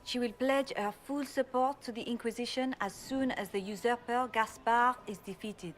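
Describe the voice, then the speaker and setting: A woman's voice: on the high side, normal speed, slight background noise, lightly acted. An actress playing a prim, vain lady-in-waiting in a fantasy game, formal and affected. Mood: prim, eager. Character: prim, fashion-proud masked lady-in-waiting. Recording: faint background ambience.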